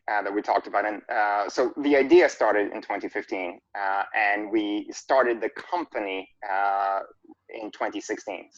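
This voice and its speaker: Lively, friendly man on dull lo-fi audio. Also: on the low side. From Serbia. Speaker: company CEO. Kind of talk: interview answers.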